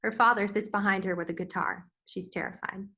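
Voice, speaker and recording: A woman's voice: medium pitch, steady tone, fairly quick, gravelly, sharp tone, breathy edge. A woman reading scripted screenplay stage directions as narrator.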